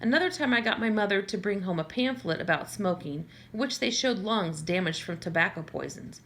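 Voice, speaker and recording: A woman's voice: mid-range voice, lively intonation, fairly quick, fairly bright tone. A woman reading a first-person novel aloud in a conversational way, now and then stumbling and correcting herself. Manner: conversational, with small slips and self-corrections. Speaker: audiobook reader.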